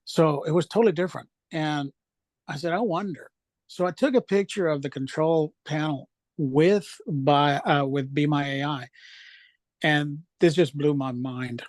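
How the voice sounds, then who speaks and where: Male, slightly high voice, dry sound, enthusiastic informal presenter sharing personal experience. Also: a little slow, no room sound. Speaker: speaker presenting travel apps. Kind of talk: informal discussion at an online event.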